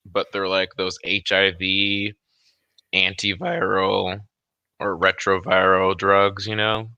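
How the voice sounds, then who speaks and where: Male co-host, crisp clear tone, very dry recording; casual, profane, loose phrasing. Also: low-pitched, level tone, slow pace, sharp tone, no room sound, muffled recording. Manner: laid-back phrasing, heavy swearing. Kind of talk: casual chat.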